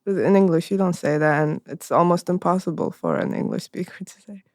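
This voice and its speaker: A woman interviewer with a mixed Swedish and Arabic accent, a native Arabic speaker who grew up in Sweden, talking in a relaxed, easygoing way. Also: fairly low pitch, fairly quick, no echo, studio quality. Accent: Swedish and Arabic mix. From Sweden, raised there from age ten. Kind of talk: casual conversational interview.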